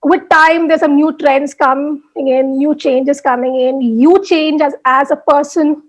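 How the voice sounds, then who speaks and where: A woman's voice: high voice, steady tone, a little slow, rough recording. A woman with an Indian English accent, answering interview questions about her blogging over a video call in a chatty way, full of restarts and fillers. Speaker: blogger guest. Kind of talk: candid interview chat.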